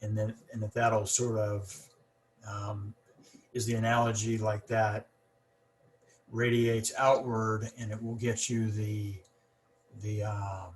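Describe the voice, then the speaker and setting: A male host talks in a relaxed, conversational way, and his speech is broken by a stutter, repeated words and fillers. His voice sits on the low side, with a faint hiss of noise behind it. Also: takes it slowly, pauses often. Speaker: podcast host. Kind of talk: casual conversation.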